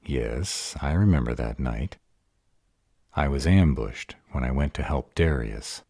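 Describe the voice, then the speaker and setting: A man playing an arrogant, protective vampire lover in a roleplay audio drama, husky and deliberate. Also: animated, dark tone, no room sound, clean studio sound, full character voice. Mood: puzzled, serious. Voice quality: husky, very deep voice. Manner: haughty, deliberate delivery.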